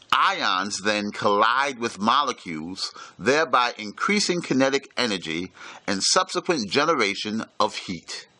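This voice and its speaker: A man reading a scripted instructional lecture with formal, measured enunciation. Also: on the low side, pushed and bright, no echo.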